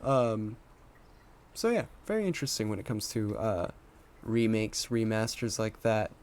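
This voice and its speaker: A man's voice: on the low side, lively intonation, unhurried, a little mellow. A man co-hosting a podcast, chatting casually and informally. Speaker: podcast co-host. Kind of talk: casual chat about video games.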